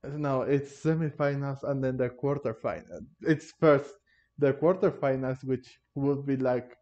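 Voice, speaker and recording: A man's voice: mid-range voice, fairly even intonation, unhurried, a little mellow, muffled recording. A man giving casual, hesitant gameplay commentary as he plays. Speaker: gamer playing and commenting. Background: faint game music.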